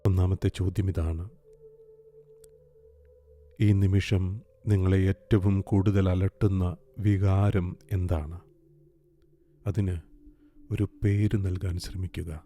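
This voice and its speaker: A man guiding a meditation in a calm, gentle, soothing way, leaving long pauses. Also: low voice. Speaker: meditation guide. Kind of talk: guided meditation, slow soothing instruction. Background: soft meditation music with singing bowl.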